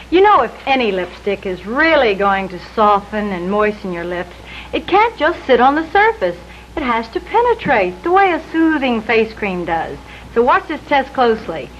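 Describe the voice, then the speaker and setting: A woman's voice: on the high side, sing-song, normal speed, a bit bright. A woman delivering a scripted live product demonstration on 1950s television. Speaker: commercial presenter. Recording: dull, faintly noisy 1950s TV broadcast.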